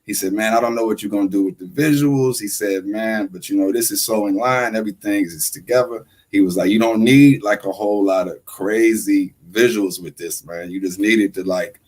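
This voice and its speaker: A man's voice: fairly low pitch, normal speed, few pauses, a little mellow. A man in his forties, a rapper appearing as a podcast guest, chatting casually and rambling with lots of fillers and "right?" questions. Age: 40s. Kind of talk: casual chat.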